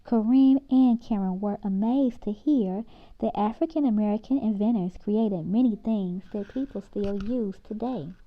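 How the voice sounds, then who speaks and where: A woman reading a children's story aloud as an expressive narrator. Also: mid-range voice, moderate pace, phone-quality sound.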